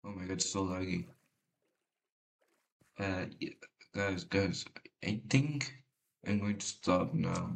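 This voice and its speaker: A man whose first language is Spanish, giving casual, halting gameplay commentary with a deep voice and many fillers. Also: creaky. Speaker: gamer.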